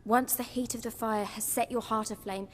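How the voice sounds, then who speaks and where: A defiant, bitter young woman played by an actress in a dramatic video game. Her voice sits fairly high and carries a British accent, with a long reverb around it. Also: fairly even intonation, moderate pace, natural voice. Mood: resolute, dismissive.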